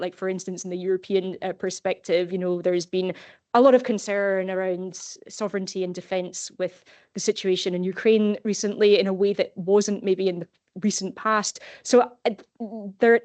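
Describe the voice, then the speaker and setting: A woman's voice: medium pitch, a little mellow. A woman answering a question in an online seminar, thoughtful and hedging, often restarting her sentences. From Scotland. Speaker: seminar speaker. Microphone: video call.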